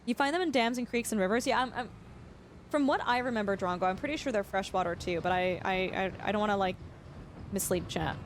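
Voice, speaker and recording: A young woman streaming a farming game, chatting casually and explaining facts with lots of fillers, her voice slightly hoarse. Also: mid-range voice, a little fast, slight background noise. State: voice going hoarse. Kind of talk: casual live-stream chat.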